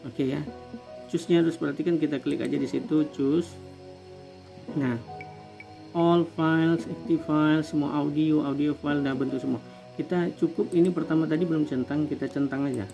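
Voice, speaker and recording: A man's voice: on the high side, fairly even intonation, normal speed, gravelly. An Indonesian man, a university tutor, explaining on-screen steps informally one by one in a dull lo-fi phone recording. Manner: explanatory, often checks along with "ya" and "nah". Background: music.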